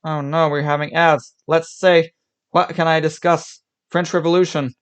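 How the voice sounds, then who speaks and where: A man's voice: on the high side, flat delivery, normal speed, clean tone. A young man from Switzerland reacting to videos on a live stream, talking in rambling bursts with restarts and laughs. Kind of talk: casual reaction commentary.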